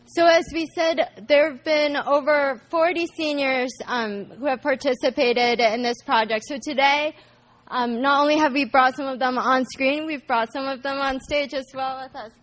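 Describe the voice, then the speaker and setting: High-voiced woman hosting on stage. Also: moderate pace. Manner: lively, laughing often. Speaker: event host. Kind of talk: hosting a live event. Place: stage before an audience.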